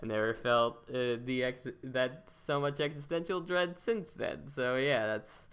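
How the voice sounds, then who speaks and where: Bright, clear-voiced man, rambling casually. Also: mid-range voice. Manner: rambling, halting, repeats words. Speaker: podcast host and interviewer. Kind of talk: casual chat.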